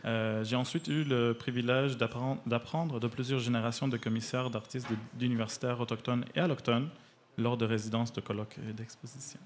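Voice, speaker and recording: A man with a medium-pitched voice and as his gives a prepared arts column. He partly reads his reviews and explanations in the manner of a cultural radio segment. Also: steady tone, a little fast, slight background noise.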